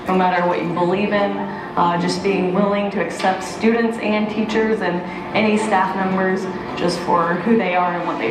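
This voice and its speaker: A woman on a school staff answering interview questions. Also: medium pitch. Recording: echoey, music and chatter behind.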